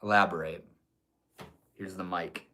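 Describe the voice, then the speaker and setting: A man's voice: sits low, fairly even intonation, measured pace, pushed and bright. A young man with a stuffy nose, casually chatting with his livestream viewers and swearing freely. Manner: laid-back, swears often, chats with viewers. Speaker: livestreamer reading chat.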